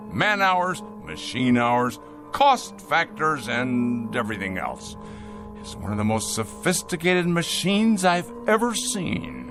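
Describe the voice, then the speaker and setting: Pompous, swooping-voiced factory boss over music. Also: male voice, on the high side. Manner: pompous, lecturing, smooth salesman's patter. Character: cold, arrogant factory owner obsessed with machines. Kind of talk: audio drama acting.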